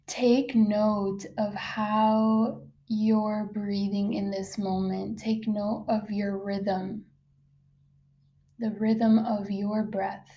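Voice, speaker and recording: A woman guiding a meditation, soft-spoken and unhurried, leaving long pauses between soothing phrases. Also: medium pitch, little pitch movement, lo-fi sound. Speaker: meditation guide. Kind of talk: guided meditation, slow soothing narration.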